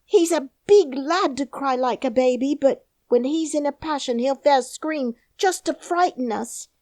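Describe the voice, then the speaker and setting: A woman voicing an excitable young housemaid with a Yorkshire dialect, speaking loud and high. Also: unhurried, no room sound, clean studio sound. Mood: alarmed. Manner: shrill, loud and agitated.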